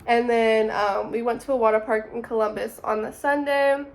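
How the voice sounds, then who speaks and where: A woman's voice: fairly high pitch, steady tone, moderate pace, vocal fry. A woman of twenty, narrating her summer in a chatty, upbeat vlog voice-over. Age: 20s. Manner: chatty, upbeat, says "super" often. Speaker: vlogger.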